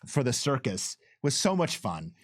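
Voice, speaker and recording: A man's voice: mid-range voice, very animated, moderate pace, dry recording, clean studio sound. A man giving enthusiastic, conversational developer commentary, eagerly breaking off and restarting his thoughts.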